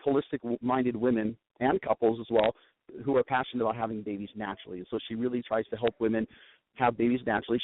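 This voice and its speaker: A man, a wellness coach, talking on the radio in an enthusiastic, rambling way, with a raspy voice today. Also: medium pitch. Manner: enthusiastic, rambling, lots of restarts. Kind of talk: casual radio chat. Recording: tinny phone line with faint noise.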